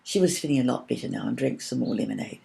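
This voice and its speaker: A woman narrates a children's story from a script. Her voice is very mellow and relaxed. It has a noticeable creak. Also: extremely low pitch, quick pace, a little hiss or hum. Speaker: story reader.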